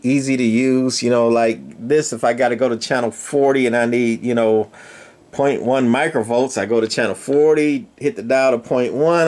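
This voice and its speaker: Older male workshop host, folksy, halting, casual demo talk, mid pitch. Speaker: radio repair channel host. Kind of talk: casual equipment demonstration. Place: indoor electronics workshop.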